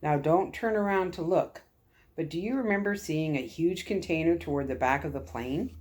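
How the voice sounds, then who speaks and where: A woman voicing a calm, kindly military lieutenant in a story, gentle and earnest. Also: low voice, normal speed, natural voice. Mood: hushed, serious.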